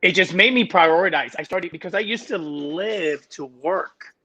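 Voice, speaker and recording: Bright-voiced man telling his story. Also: sits high. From Miami, United States. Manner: halting, restarts phrases often. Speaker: podcast guest. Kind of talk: casual interview storytelling.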